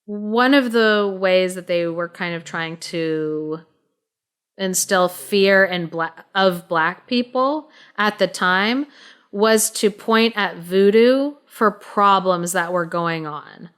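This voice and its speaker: A woman co-hosting a podcast, telling a story casually in an informal, halting, explanatory way. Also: medium pitch, fairly bright tone, clean tone.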